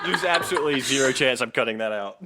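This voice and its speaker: A man's voice: mid-range voice, expressive, quick pace, heavy background noise. A man co-hosting a podcast in casual chat, bantering with wry jokes. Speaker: podcast host.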